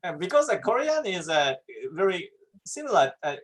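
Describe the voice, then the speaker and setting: A man with a Japanese accent, co-hosting a casual chat over drinks in halting English, laughing often. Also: high voice, sing-song. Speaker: co-host.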